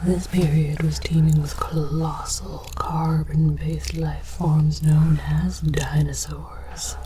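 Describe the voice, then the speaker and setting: A woman whispering scripted ASMR narration as an alien archaeologist, with a deliberate, theatrical, mock-scholarly delivery. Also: deep voice, level tone, dark tone, slight background noise. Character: alien archaeologist researcher, scholarly and condescending about humans. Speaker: host of an ASMR series.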